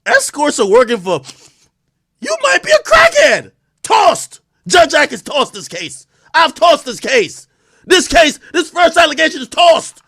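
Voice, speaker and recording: A man streaming a live reaction, talking in an animated, profane, loud way with bursts of laughter. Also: extremely high pitch, a little slow.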